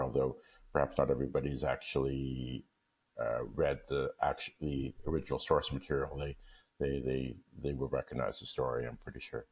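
A man with an extremely low voice, speaking as a guest story reader. The recording is muffled and lo-fi, lacking crispness. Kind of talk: casual chat, then reading a short story aloud. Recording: dull, muffled lo-fi audio.

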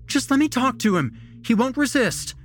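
A man's voice: high voice, very animated, a little slow, a little hiss or hum, pitched above the performer's own voice. A man voicing a protective woman doctor in an audio story, insistent and pleading. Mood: shouting, protective.

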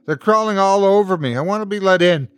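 A man's voice: a little high, sing-song, moderate pace, no room sound, voice pushed up. A man voicing a weak, frightened young man who pleads in an exhausted, wavering voice in an audiobook story. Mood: desperate pleading. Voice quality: faint, wavering, sob-like.

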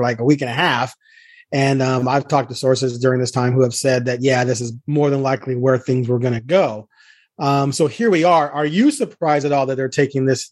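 A man's voice: medium pitch, a little mellow, no room sound. A man hosting a casual sports podcast, talking conversationally as a reporter with a joking streak.

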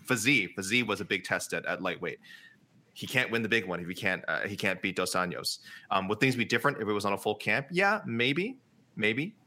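Low bright-voiced man rambling analysis. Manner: rambling, many fillers and restarts. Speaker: fight analyst co-host. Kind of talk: casual analysis chat.

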